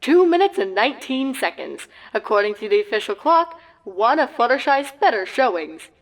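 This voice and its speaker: A woman plays a brisk pony sports announcer in a comic story. The voice is a little high and lively, projected loudly with matter-of-fact commentary phrasing. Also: rough recording.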